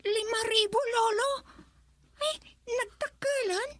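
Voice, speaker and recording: Respectful young grandchild, high voice, unhurried pace, radio drama. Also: female voice, noisy background. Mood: curious. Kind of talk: Ilocano radio drama acting.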